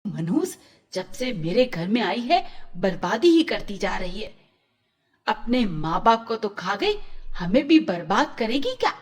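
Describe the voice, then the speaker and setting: A woman's voice: medium pitch, very animated, a little slow, husky, voice pushed down. A woman voicing a bitter, scolding female relative in a children's moral story, scornful and accusing. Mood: scornful anger.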